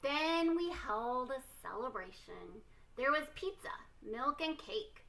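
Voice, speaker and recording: A woman reading a children's storybook aloud expressively, with theatrical, deliberate emphasis. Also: sits high, expressive, gravelly, a bit bright, poor audio. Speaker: storytime reader.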